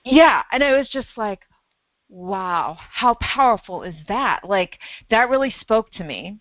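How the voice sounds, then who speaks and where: A middle-aged woman, a weight loss coach, talking casually and telling a lively story with frequent laughs. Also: medium pitch, unhurried, no echo, dull audio. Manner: animated storyteller, laughs often, acts out her own thoughts.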